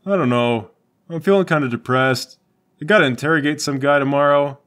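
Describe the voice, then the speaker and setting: A man's voice: fairly high pitch, a little fast, a bit bright, close to an everyday voice. A man playing a glum, crooked slacker cop boyfriend in a tabletop game, with a hesitant, stammering delivery. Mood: glum, pleading.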